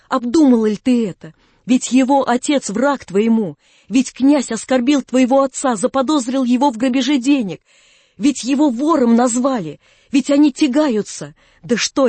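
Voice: medium pitch, mellow, breathy edge, dry recording, lightly acted. Distraught, urgent young man, acted by a narrator. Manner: urgent, agitated, insistent. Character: distraught, devoted young man pleading desperately.

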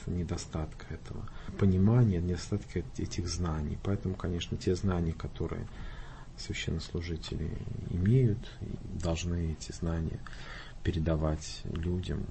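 A man's voice: low voice, steady tone, moderate pace, creaky, noisy background. A man speaking earnestly about faith, explaining in a pious, careful way. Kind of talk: talk show conversation.

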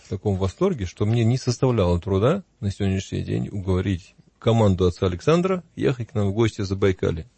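Мужчина с хриплым низким голосом. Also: male voice, fairly low pitch, fast, gravelly, hardly any pauses, husky, no room sound. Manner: lively anecdotes with acted quotes. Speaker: guest. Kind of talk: casual storytelling in a studio talk.

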